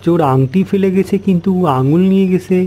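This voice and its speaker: A man's voice: sits high, fast, warm tone, faint music behind, lightly acted. A man playing a shaken young son in a horror cartoon, speaking with hesitant disbelief. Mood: puzzled, shaken.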